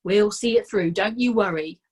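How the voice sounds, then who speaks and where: A woman's voice: medium pitch, moderate pace, darkish tone, breathy edge, pitched above the performer's own voice, close to an everyday voice. A woman doing a fussing, kindly old sergeant. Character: kindly, slow-witted male sergeant.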